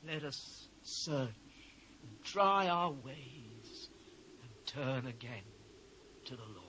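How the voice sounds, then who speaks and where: Anguished biblical prophet, slow solemn declamation, impassioned, dramatised scripture, faint cassette noise. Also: male voice, medium pitch, animated, pushed and bright, low-quality recording. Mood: subdued, grave. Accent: British. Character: anguished male biblical prophet lamenting his ruined people.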